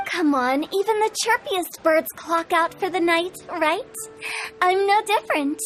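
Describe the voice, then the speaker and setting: A woman's voice: extremely high pitch, a little slow, a bit bright. A woman voicing a playful, quirky young woman in a video game, breezy, theatrical and confident. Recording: music behind the voice.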